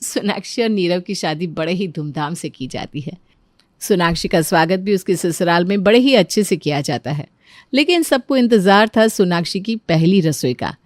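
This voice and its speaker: A woman's voice: mid-range voice, a little mellow, no room sound, clean studio sound. A woman narrator reading a scripted cartoon story.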